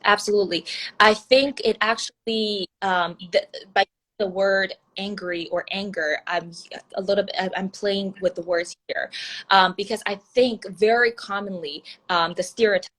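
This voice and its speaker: A woman's voice: mid-range voice, fairly even intonation, moderate pace, fairly bright tone, poor audio. A young woman whose first language is Mandarin Chinese, answering interview questions about her writing in an earnest way, with frequent fillers and restarts. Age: young adult. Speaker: author being interviewed. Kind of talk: conversational interview.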